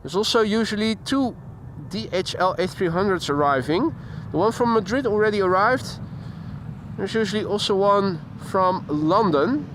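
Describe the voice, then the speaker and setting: A man with a fairly high voice talks casually through a live stream outdoors, greeting viewers by name and chatting between topics. A faint outdoor hum sits behind him, picked up by a camera-mounted mic. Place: outdoors near an airport. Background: faint outdoor traffic and voices.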